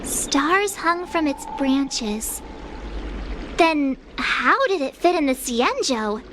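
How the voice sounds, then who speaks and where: A woman's voice: high voice, big pitch swings, slow, noisy background, full character voice. A woman playing a curious, pouty, outspoken young girl traveler in a video game, with perky delivery. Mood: curious, puzzled. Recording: background music under the voice.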